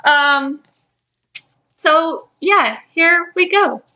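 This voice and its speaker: A woman's voice: high voice, expressive, very slow, pushed and bright, dull audio. A woman speaking as a podcast co-host who chats and reads her own fan fiction. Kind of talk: casual chat and reading own fan fiction aloud.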